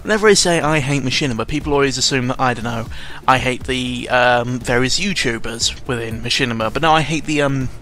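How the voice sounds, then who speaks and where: A young man giving casual, unscripted commentary in a rambling, halting way, often interrupting himself, with a sarcastic, self-deprecating and exasperated manner. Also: medium pitch, bright, forceful tone. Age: young adult. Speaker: YouTuber recording an audio log. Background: background music.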